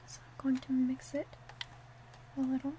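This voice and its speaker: A woman speaking in a hushed, gentle way, narrating an ASMR roleplay step by step. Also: high voice, monotone, moderate pace, a little hiss or hum. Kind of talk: whispered ASMR roleplay demonstration.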